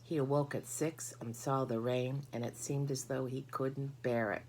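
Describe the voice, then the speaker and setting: A woman's voice: rumbling low, steady tone, normal speed, a little mellow, a little hiss or hum, low-quality recording. A woman narrating a children's story from a book as a storybook reader.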